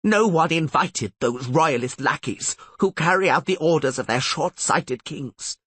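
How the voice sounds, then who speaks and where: An imperious older archmistress sorceress, as a male audiobook narrator puts her on, her formal orders rising and falling widely in a husky voice. The recording is dry and close. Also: fairly high pitch, expressive, a bit bright, no echo, pitched above the performer's own voice, heavily acted. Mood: commanding. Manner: commanding, formal, measured. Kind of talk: audiobook character reading.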